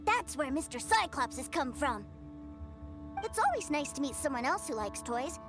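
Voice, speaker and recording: A small boy in a video game, very high and childlike. He speaks with proud, trusting earnestness and lively rises and falls, with music behind. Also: moderate pace.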